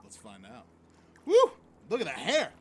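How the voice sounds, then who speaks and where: A man's voice: high voice, huge pitch swings, unhurried, a bit bright, breathy edge, exaggerated voice. Man playing a cocky, swooping spring-break flirt. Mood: flirty, excited. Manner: brash, teasing. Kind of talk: scripted audio drama acting. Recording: noisy boat and water ambience.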